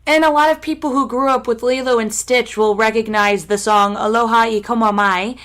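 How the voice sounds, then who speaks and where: Female talk show host, a touch higher pitched, admiring and enthusiastic interviewer. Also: steady tone, brisk, a bit bright. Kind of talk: interview questions.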